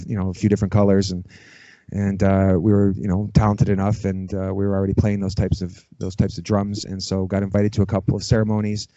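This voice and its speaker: Low-pitched middle-aged man, echoey muffled recording. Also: mellow. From Canada, Toronto area. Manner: informal, peppers talk with "you know". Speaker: podcast guest, ritual drummer. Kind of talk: casual, rambling conversational interview. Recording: muffled, roomy, echoey sound.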